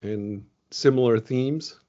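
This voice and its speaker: A man from Venezuela presents results in a loose, chatty webinar, full of ums and restarts. His voice sits at an ordinary middle pitch. It comes through a video call with dull, muffled audio. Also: slow, warm tone.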